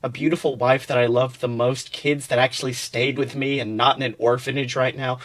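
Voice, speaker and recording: A man's voice: medium pitch, level tone, fairly quick, fairly bright tone, lightly acted. A man voicing a gloomy, grumpy ogre who tells his sorrows in a melodramatic, dismissive way. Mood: mournful.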